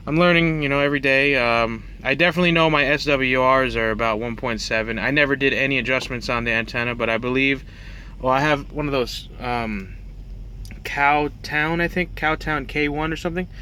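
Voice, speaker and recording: A man's voice: medium pitch, pushed and bright. A man talking casually and unscripted as he shows off his gear, with a hesitant, rambling, self-correcting manner. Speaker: truck driver and CB radio hobbyist showing his gear. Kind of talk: casual unscripted show-and-tell to camera. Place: inside the cab of a semi truck. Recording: faint vehicle cab noise behind voice.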